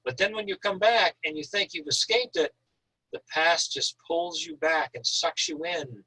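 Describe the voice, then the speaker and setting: A man's voice: on the high side, normal speed, pushed and bright, husky, rough recording. An American man from Northern Maine, an author reading prose and talking informally about writing. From Northern Maine, USA. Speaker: author reading and discussing their own thriller.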